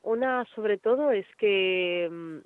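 A woman talks as a guest, thoughtful and hesitant, pausing often as she picks her words. Her voice comes through a narrow, phone-like line with no room sound at all. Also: mid-range voice, smooth, dry recording. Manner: thoughtful, hesitant, many pauses and fillers. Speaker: singer, guest. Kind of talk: conversational interview answers. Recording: tinny dry phone-like line.

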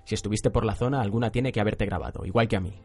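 A man's voice: on the low side, racing, dark tone, breathy edge, soft background music. An actor playing a cool, calculating male student in a radio drama, politely controlling.

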